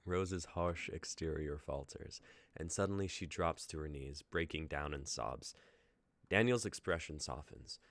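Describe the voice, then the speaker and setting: A man with an extremely deep voice reads stage directions aloud in a plain, measured way. The sound is dry and close, with no room around it. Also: dry recording. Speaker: narrator reading stage directions. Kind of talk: reading scripted narration aloud.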